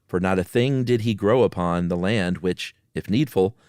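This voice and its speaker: A man's voice: deep voice, normal speed, darkish tone, smooth, no echo, clean studio sound. A man hosting a podcast, moving between casual chat and dramatic reading of a spooky story. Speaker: podcast host.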